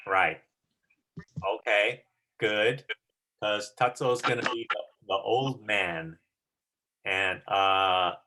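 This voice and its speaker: A woman with a very low voice leads an online class as its teacher. She speaks slowly and casually, organizing the group and echoing people's words back with encouragement. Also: bright, forceful tone. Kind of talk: casual chat organizing a video call. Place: video call.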